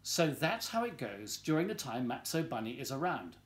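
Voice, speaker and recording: Older man reading a storybook, animated pitch. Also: moderate pace. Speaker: volunteer story reader. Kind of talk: reading a children's storybook aloud. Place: small living room at home, indoors.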